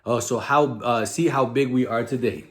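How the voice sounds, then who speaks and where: A man's voice: mid-range voice, normal speed. A man hosting a children's story time, explaining simply in a chatty way and often checking with "right?". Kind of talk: children's storytime reading with casual chat.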